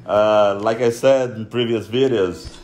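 A man's voice: lowish voice, unhurried, a little mellow. A man from Brazil with a Brazilian accent, Portuguese his first language, giving a chatty, self-joking voice-over for a game guide. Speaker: gaming YouTuber.

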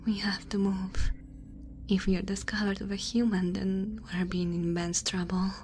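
A woman voicing a cautious, curious alien researcher in a soft, precise, formal whisper for an ASMR roleplay. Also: mid-range voice, little pitch movement, normal speed, faint music behind, close to an everyday voice. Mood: worried. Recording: noisy ambience behind close whisper.

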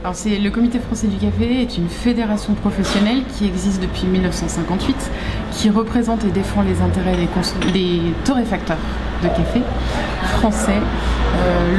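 A woman in her thirties, a trade association delegate, answering interview questions in a measured, explanatory way with frequent hesitations. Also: mid-range voice, heavy background noise. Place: coffee shop, indoors.

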